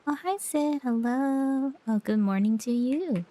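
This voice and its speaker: A woman's voice: high-pitched, measured pace, mellow. A woman streamer making casual, chatty asides while playing a game. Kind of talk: casual gameplay commentary.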